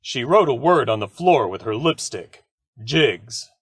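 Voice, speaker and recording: A man's voice: medium pitch, lively intonation, measured pace, slightly rough, no echo, studio quality. A man acting a polite, matter-of-fact police lieutenant in an old-time radio drama, with formal questioning delivery. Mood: official, probing.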